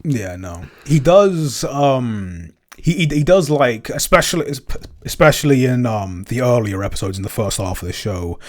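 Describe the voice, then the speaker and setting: A man's voice: mid-range voice, sing-song, normal speed, darkish tone. A man chatting casually as a podcast creator answering questions, often stammering and repeating words as he works through his thoughts.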